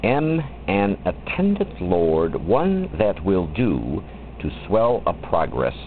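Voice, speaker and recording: Theatrical man reciting poetry, widely swooping pitch. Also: sing-song. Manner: theatrical, deliberate, with wide swoops and drawn-out phrases. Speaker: poetry reader. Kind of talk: expressive dramatic poetry recitation. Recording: muffled lo-fi recording with faint background noise.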